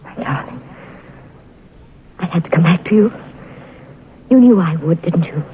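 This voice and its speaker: An actress playing a passionate, ruthless enemy agent and pleading lover in 1940s radio drama style, on an old hissy recording. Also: female voice, fairly low pitch, expressive, unhurried, narrow, tinny audio. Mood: amorous. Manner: impassioned, imploring.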